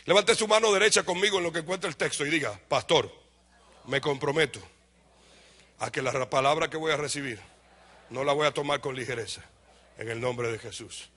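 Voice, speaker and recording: A man with a Dominican accent preaching a lively sermon to a congregation. He asks questions and answers them himself, and he shouts some points before dropping to a low aside. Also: brisk, long pauses. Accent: Dominican Spanish. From Dominican Republic. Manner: animated, shouts for emphasis, then drops to a low aside. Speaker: pastor.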